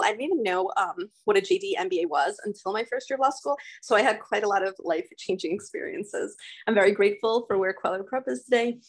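A woman in her forties leads an online webinar in an earnest, emphatic tone. Her mid-range voice comes through a laptop microphone on a video call. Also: mid-range voice. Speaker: webinar host. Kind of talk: welcoming webinar talk. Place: home room on video call. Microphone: laptop webcam mic on video call.

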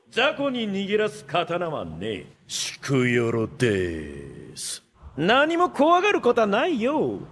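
A cocky, flamboyant anime swordsmith with the swagger of a showman. His very high male voice swings wildly across its range. The delivery is theatrical and teasing, with a playful sing-song that can drop into coldness. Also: sharp tone, slight background noise, heavily acted. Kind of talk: Japanese anime voice acting.

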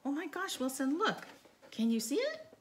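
A middle-aged woman doing a children's read-aloud in an animated, coaxing sing-song, asking questions as she goes, recorded in a living room. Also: high-pitched, moderate pace. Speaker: therapy dog owner reading a storybook aloud. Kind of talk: children's read-aloud, talking to a dog and young viewers. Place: living room by a stone fireplace, indoors. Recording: clearly noisy home recording.

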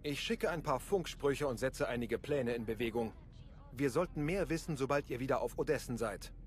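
An actor playing a charming, roguish intelligence agent in a video game, smooth-talking, breezy and flirtatious. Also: male voice, medium pitch, normal speed, lightly acted. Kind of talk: video game cutscene acting.

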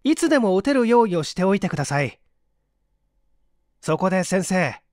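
A man voicing an earnest, quick-witted young male assistant who speaks urgently and politely in a dramatic story reading. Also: sits high, sing-song, no room sound, studio quality. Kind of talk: dramatic audiobook character reading.

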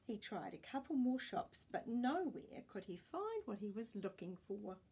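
Husky, animated older woman reading a storybook. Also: mid-range voice. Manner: expressive storytelling, pausing to ask listeners questions. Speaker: children's storytime reader. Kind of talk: picture book read-aloud narration. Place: small indoor room with bookshelves.

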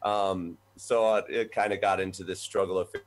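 A man in his forties, a voice professor from the United States, telling his background in a relaxed, rambling way over a video call from a small office. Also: low voice, moderate pace, slight background noise. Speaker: guest, voice professor. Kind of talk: conversational storytelling. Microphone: webcam on video call.

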